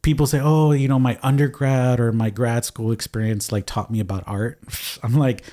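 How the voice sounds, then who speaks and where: A man talking casually as a podcast guest, rambling with restarts and casual swearing, with a low voice. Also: steady tone, normal speed, mellow. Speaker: guest artist. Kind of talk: casual conversation.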